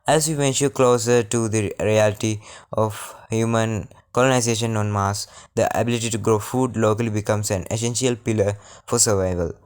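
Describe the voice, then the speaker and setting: A young man from Sri Lanka reading a prepared science project presentation in a formal, measured way. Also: fairly low pitch, fairly even intonation, clean tone. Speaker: student presenter.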